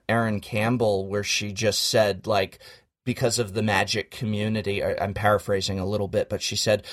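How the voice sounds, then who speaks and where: A man chatting casually on a podcast in an earnest, emphatic, speechmaking way. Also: on the low side.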